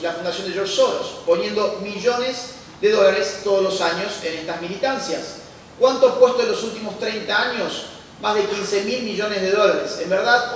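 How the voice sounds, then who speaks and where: Male lecturer, 30s, punchy rhetorical questions; distant, boomy hall pickup. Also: slight background noise. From Argentina. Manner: emphatic, poses rhetorical questions then answers them. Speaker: conference speaker. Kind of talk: public lecture to an audience. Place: large church hall used as a lecture venue. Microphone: handheld mic, recorded by a distant camera. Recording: echoey church hall heard from a distance.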